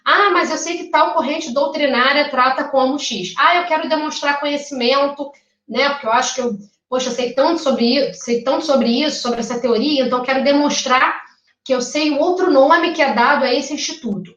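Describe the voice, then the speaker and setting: A woman with a Carioca accent lectures in a chatty way and keeps checking in with her listeners. Her voice sits a little high. The recording is distant, dull and roomy. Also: normal speed, a bit bright. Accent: Carioca Brazilian Portuguese. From Rio de Janeiro, Brazil. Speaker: teacher. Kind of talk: live online exam-prep lecture.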